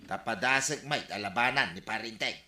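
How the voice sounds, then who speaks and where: A man's voice: on the low side, brisk, pushed and bright. An actor playing an ambitious, conniving village man in a Filipino comedy radio drama, with an Ilocano accent. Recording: roomy echo, music underneath.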